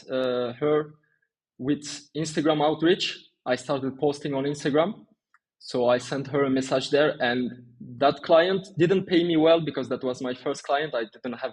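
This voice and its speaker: A man's voice: fairly high pitch, a little slow, slightly rough, poor audio. A man, answering interview questions in a conversational way. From Turkey and France. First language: Turkish and French. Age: 20s. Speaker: interview guest, small agency owner.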